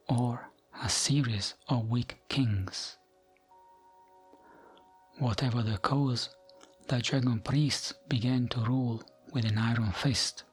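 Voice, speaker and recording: Slow, gentle ASMR man over music. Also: mid-range voice, husky. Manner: gentle, soothing, hushed delivery. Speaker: narrator of gaming lore videos. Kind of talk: ASMR reading of fantasy lore.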